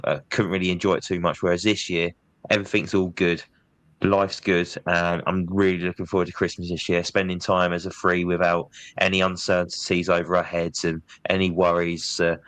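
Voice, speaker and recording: A man's voice: deep voice, no echo. A man chatting casually on a podcast, bunged up from a cold. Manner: chatty, relaxed, says "mate" often. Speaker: podcast co-host. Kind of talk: casual chat.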